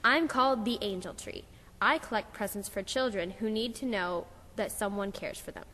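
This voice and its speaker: A woman voicing a kindly talking Christmas tree in a children's puppet show, with a gentle, reassuring manner. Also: mid-range voice, moderate pace, long reverb tail, natural voice.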